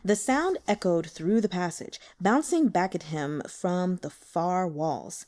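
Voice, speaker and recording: A woman's voice: on the low side, expressive, slow, darkish tone. A woman reading a novel aloud as scripted narration. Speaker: audiobook reader.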